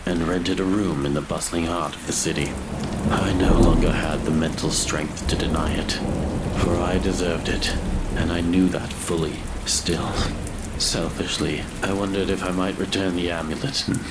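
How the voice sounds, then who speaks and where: A man's voice: low voice, fairly even intonation, normal speed, very noisy, natural voice. A man playing a guilt-ridden grave robber who narrates his doom in a brooding, theatrical way, in a gothic horror audio drama. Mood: brooding, remorseful.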